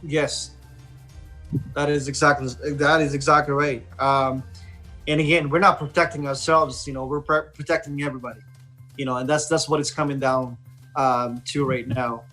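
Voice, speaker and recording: A man's voice: mid-range voice, level tone, moderate pace, bright, forceful tone, soft background music. A man with a Filipino accent hosting a casual radio discussion, speaking hesitantly and switching between English and Tagalog. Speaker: online radio host.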